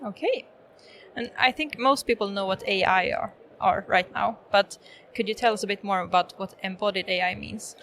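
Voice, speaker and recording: Woman hosting with short measured questions. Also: mid-range voice, fairly bright tone, a little hiss or hum, low-quality recording. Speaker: podcast host, consultant. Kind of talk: interview hosting. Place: live event podcast booth.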